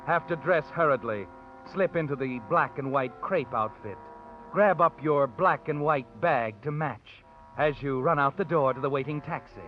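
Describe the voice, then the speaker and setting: A man's voice: on the high side, natural voice. Male suspense narrator, acted by a man: knowing, taunting, ominous. Vintage radio sound, muffled, with faint music behind. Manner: knowing, ominous second-person address. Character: sardonic, all-knowing male mystery narrator taunting the guilty. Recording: muffled old radio broadcast recording.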